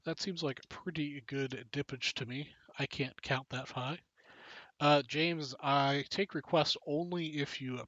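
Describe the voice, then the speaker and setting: A man with a bright, forceful voice hosts a casual live stream, joking with viewers and reading off names as he goes. The sound is dull and lo-fi, with a dry, close feel and no room around it. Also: mid-range voice, gravelly, no room sound. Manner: joking, chatty, reads out names and cards. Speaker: live stream card break host.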